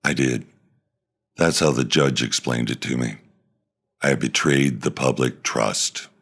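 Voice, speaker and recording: A man voicing a remorseful middle-aged former pilot in prison, confessing in a quiet, halting way with a gravelly voice. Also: a bass voice, pitched below the performer's own voice, exaggerated voice. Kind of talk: dramatic monologue read.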